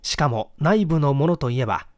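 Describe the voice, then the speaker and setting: A man reading a classic mystery story aloud as a dramatic narrator, in measured, formal storytelling. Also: a little high, lively intonation, no room sound, clean studio sound. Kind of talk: dramatic scripted audiobook narration in first person.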